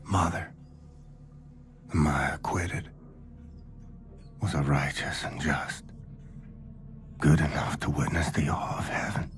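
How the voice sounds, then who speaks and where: An actor playing a brooding, war-weary hero in a video game, grave and solemn, with a deep hushed gravelly voice. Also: male voice, steady tone. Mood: anguished dread. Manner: slow, grave. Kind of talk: dramatic video game monologue.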